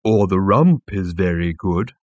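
A man voicing a large, fat, obliging talking cow in an audiobook, with a contented, deferential, lowing delivery. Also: lively intonation, a little slow, a little mellow, no room sound, muffled recording. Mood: contented, inviting. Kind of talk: audiobook comic science fiction character.

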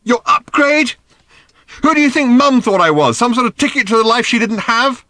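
Audiobook role: wounded, impatient teenage girl played by a man, high and sharp, hurling furious accusations. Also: animated, normal speed, no room sound, clean studio sound, exaggerated voice. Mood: furious, shouting. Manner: shouting, accusatory outbursts.

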